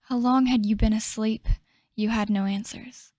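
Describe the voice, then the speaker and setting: A woman playing a solemn storyteller, narrating a fantasy game legend in a measured way. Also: fairly high pitch, fairly even intonation, a little mellow, dry recording, clean studio sound, lightly acted.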